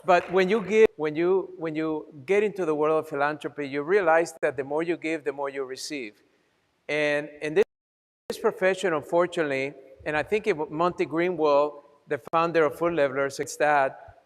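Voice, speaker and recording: Colombian-accented man with a highish voice. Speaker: event co-host. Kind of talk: fundraising appeal to an audience. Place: large event hall. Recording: echoey hall PA sound.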